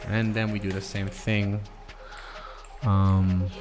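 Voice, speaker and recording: A man streaming himself coding, thinking aloud in a slow, halting way and reading code out as he types. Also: fairly low pitch, little pitch movement, over music. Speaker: programmer streaming live coding.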